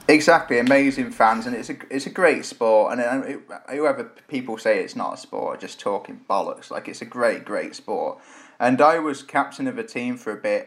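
A man co-hosting a casual podcast. He rambles cheerfully and with enthusiasm, voice pitched in an ordinary middle range. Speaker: podcast co-host.

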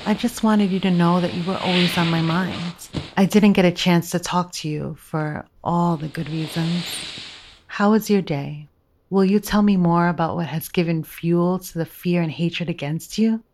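A woman's voice: lowish voice, normal speed, darkish tone, a little hiss or hum, natural voice. A woman acting in an audio drama as a scheming lover who feigns sweet devotion, coaxing and intimate.

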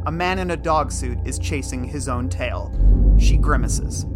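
A man's voice: medium pitch, unhurried. A man reading scripted, dramatic narration as the narrator of an audio drama. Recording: loud music under the voice. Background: loud music.

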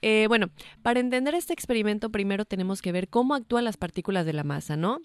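Mexican woman cohost, casual explainer, laughs easily, medium pitch, dry close mic. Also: animated, quick pace, smooth, no echo. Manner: chatty, laughs easily, restarts words. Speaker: podcast co-host.

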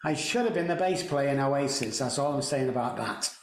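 A man's voice: medium pitch, lively intonation, a little fast, slight background noise. Mancunian man telling stories, echoey room. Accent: Mancunian English. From England. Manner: chatty, joking, self-deprecating. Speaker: podcast guest. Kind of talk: casual storytelling interview.

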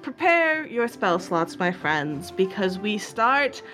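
A woman narrating and running a tabletop game as game master, lively and laughing often. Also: medium pitch, slow, faint music behind.

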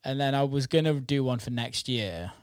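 A man's voice: medium pitch, moderate pace, no echo, clean studio sound. A man chatting casually as a music producer, informal and sweary, peppering his talk with "like". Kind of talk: casual chat.

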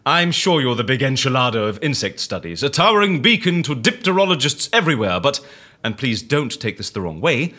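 A sardonic, swooping, bright-voiced fixer. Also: male voice, mid-range voice, very animated, brisk. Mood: incredulous, teasing. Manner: sardonic, theatrical, teasing. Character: wry, mocking man who helps people disappear. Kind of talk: audio drama acting.

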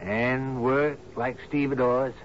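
A man's voice: mid-range voice, steady tone, slow, natural voice. An actor in a radio drama playing a loyal, agreeable young man, deferential and hearty toward his captain. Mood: loyal, reminiscing. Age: 20s. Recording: dull, noisy 1970s radio recording.

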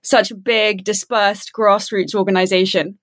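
A woman hosting a podcast, asking an interview question in a clear, measured way. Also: medium pitch, flat delivery, a bit bright, no room sound. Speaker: podcast host.

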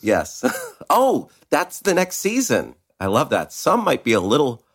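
A man co-hosting a comedy podcast, his voice a little raspy, joking and swearing in loose chat. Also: mid-range voice, huge pitch swings, measured pace. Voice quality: raspy today. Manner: jokey, swearing, acts out silly quotes. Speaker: podcast co-host.

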